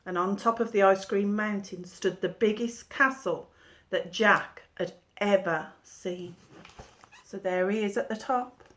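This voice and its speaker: Slow expressive woman storyteller. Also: medium pitch. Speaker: teacher. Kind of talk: reading a storybook aloud to children.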